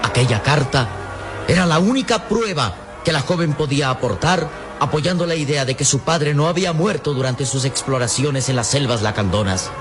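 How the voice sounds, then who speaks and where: A man's voice: a little high, animated, moderate pace. A man narrating an adventure radio serial in a florid, emphatic, theatrical style. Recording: old radio recording with music underneath.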